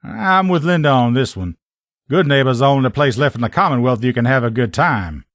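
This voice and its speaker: A man's voice: medium pitch, expressive, fairly quick, a bit bright, dry recording, clean studio sound, lightly acted. A man playing a brash sheriff's lieutenant and proud armor mechanic in a video game, blunt, sardonic and salty-tongued. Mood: matter-of-fact. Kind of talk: video game character dialogue.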